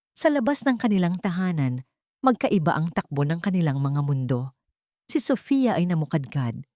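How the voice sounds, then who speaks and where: A woman with a Filipino accent narrating a scripted drama story in measured, emphatic phrases. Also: on the low side, darkish tone, dry recording, studio quality.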